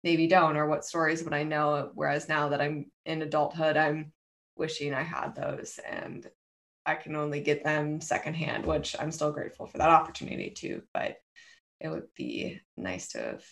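A woman co-hosting a podcast, speaking reflectively with many fillers and pauses. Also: low-pitched, vocal fry. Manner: reflective, warm, hesitant, frequent fillers. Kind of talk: casual conversational chat.

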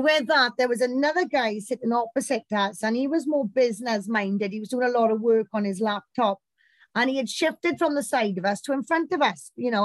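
British woman, campaign organiser; loud, talkative storyteller, high-ish pitch. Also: no echo. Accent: British English. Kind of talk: casual chat.

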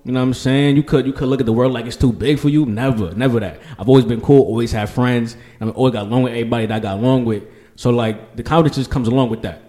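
Young New York guy, easygoing slang-heavy group chat, mid-pitched. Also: fairly even intonation, very fast, hardly any pauses, warm tone. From Queens, New York. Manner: laid-back, slangy, repeats fillers like "you know".

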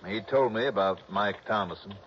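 An easygoing police captain, played by a male actor, talks in a low, folksy, musing voice. It is 1960s radio acting, slightly noisy and muffled. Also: fairly quick, close to an everyday voice. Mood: matter-of-fact. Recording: dull, noisy old radio recording.

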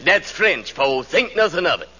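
An actor playing a deferential club porter in a 1940s radio comedy, hesitant and servile. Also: male voice, a little high, very animated, moderate pace, sharp tone. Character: deferential male club porter, mildly dim. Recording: muffled, hissy 1940s radio recording.

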